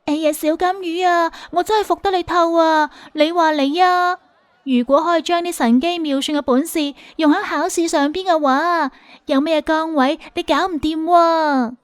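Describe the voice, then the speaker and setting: A woman voicing an excitable, chatty young woman friend in an audiobook, gushing and exclamatory. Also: very high voice, moderate pace, no echo, studio quality, voice pushed up. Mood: exasperated, teasing. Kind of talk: audiobook character dialogue.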